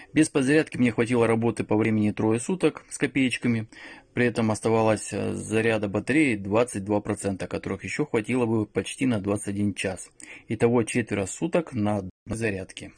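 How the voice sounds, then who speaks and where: A man's voice: fairly low pitch, a little mellow, husky. A man giving an informal product review and tutorial, explaining things in a matter-of-fact way. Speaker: phone reviewer.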